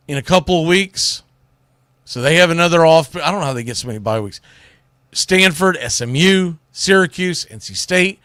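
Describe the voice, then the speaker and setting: A man hosting a sports show, giving casual, opinionated commentary in a conversational, emphatic way with pauses for effect. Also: on the high side, a bit bright, dry recording, clean studio sound. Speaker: sports radio show host.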